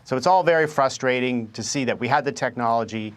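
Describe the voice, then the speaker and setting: A man's voice: mid-range voice, fast talker. A man, a former government spokesman, giving unscripted expert commentary in an interview, often repeating words as he starts.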